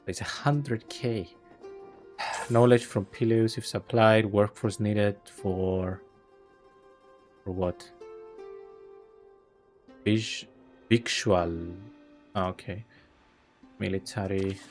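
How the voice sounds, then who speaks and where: Man, low voice, gaming commentary. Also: soft background music. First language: Greek. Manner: thinking aloud, relaxed and unhurried. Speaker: gaming video host. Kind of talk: casual gameplay commentary.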